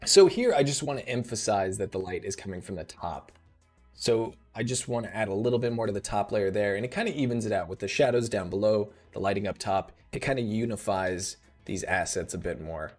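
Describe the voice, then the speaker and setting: Man, 30s, live tutorial host; slightly rough, laid-back, lowish voice, faint music bed. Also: a little fast. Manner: relaxed, thinking aloud with fillers.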